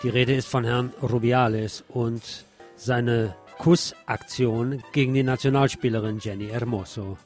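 A male radio host narrates a scripted first-person essay at a middling pitch. His phrasing is clipped and sardonic, with a theatrical touch. Music runs underneath his voice. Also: fairly even intonation, measured pace, a little mellow.

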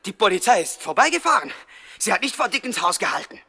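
High-voiced, cautious gangster henchman. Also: big pitch swings, quick pace, bright, forceful tone, breathy edge, exaggerated voice. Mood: cautious, doubtful. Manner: hesitant, careful. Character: cautious, doubting gangster henchman addressing his boss. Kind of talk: 1960s German radio comedy crime acting.

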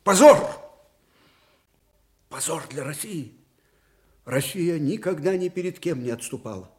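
Old general in a radio play, slow weighty speech that swings from hushed to thundering, fiery and anguished. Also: male voice, medium pitch. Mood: indignant, torn. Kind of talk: theatrical radio drama acting.

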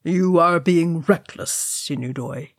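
A woman's voice: low-pitched, slow pace, no room sound, clean studio sound, full character voice. A woman voicing a weary male dwarf leader who sighs and murmurs in a fantasy story. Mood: weary reproach. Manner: sighing, murmured.

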